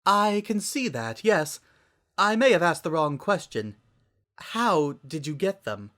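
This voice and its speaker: A man's voice: high-pitched, sing-song, takes it slowly, fairly bright tone, pitched above the performer's own voice, heavily acted. A man voicing a refined, kindly and dramatic teenage fashionista girl, speaking in a genteel, theatrical way. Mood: concerned.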